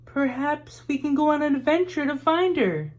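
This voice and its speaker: A reader voicing an eager, excitable young child in a children's storybook adventure. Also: high-pitched, normal speed, voice pushed up. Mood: curious.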